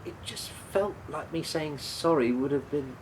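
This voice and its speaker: A man's voice: fairly high pitch, measured pace, a little hiss or hum, lightly acted. An actor in a radio play voicing a guilt-ridden, apologetic man who stammers and hesitates as he speaks. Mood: hesitant, ashamed.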